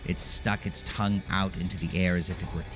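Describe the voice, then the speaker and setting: A man narrating a scripted horror story in a measured, dramatic manner. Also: low voice, fairly even intonation, music in the background.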